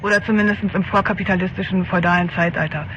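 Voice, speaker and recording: A woman's voice: fairly low pitch, level tone, quick pace, a bit bright. A woman giving scripted first-person narration on a dull, slightly noisy recording. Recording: dull lo-fi sound, faint noise.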